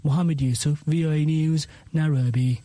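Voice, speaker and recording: A man's voice: a little high, steady tone, normal speed, loud music behind the voice. A man reporting news as a correspondent in a formal way.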